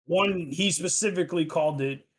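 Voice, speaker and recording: A man's voice: a little high, normal speed, a little hiss or hum. A man hosting a casual gaming podcast, talking loosely with lots of fillers, restarts and swearing. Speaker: podcast host.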